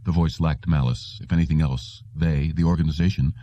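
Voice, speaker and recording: A man's voice: rumbling low, fairly even intonation, brisk, warm tone, no echo. A man reading a story aloud as scripted audiobook narration. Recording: dull, muffled lo-fi audio.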